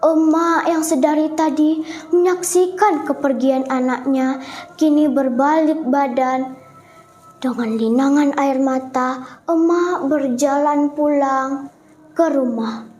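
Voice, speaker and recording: A woman's voice: high voice, takes it slowly, a little mellow, soft background music. A young female storyteller telling a folk tale in a dramatic style. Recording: echoey room.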